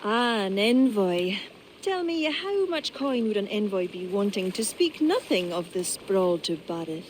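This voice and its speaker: An actress with an Irish accent playing a witty, mischievous court poetess with a sly, teasing manner. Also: female voice, medium pitch, very animated, slight background noise, poor audio. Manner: sly, teasing and quick-tongued.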